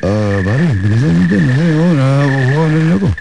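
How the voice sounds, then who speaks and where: A man playing a laid-back gang sidekick who eggs friends on, speaking haltingly in a Filipino radio drama. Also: a little high, lively intonation, creaky. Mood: egging on. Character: laid-back male barkada sidekick, egging on. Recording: loud music bed under radio drama.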